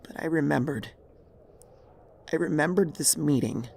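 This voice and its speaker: A man voicing a dying, regretful pony mare, a remorseful former tyrant, in a weary, halting, husky voice for a dramatic story. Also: fairly high pitch, darkish tone, a little hiss or hum, pitched above the performer's own voice. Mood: sorrowful, weary. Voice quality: raspy, husky, creaky.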